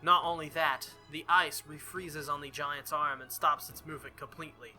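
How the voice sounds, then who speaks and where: A man's voice: on the high side, steady tone, moderate pace, pushed and bright, soft background music. A man doing a visual novel playthrough, reading the story aloud dramatically and dropping in casual asides. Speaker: let's player.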